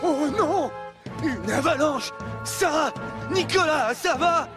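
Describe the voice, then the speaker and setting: An anxious, alarmed character in a French-dubbed cartoon. His voice is very high and swoops around, over music. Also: lively intonation, slow pace, heavily acted. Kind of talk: French animated series dubbing.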